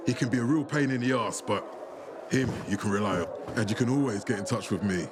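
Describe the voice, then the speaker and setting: A man's voice: fairly low pitch, brisk, natural voice. An actor playing a self-assured, commanding male militia leader in a video game, firm and authoritative. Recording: loud crowd and ambient noise.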